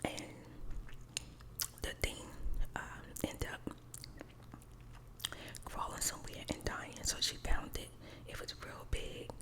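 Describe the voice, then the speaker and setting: A middle-aged woman whispers a homely, informal story straight to her listeners in an ASMR style. Her delivery is breathy and unvoiced, with colloquial phrasing and points repeated for emphasis. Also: slow pace, long pauses.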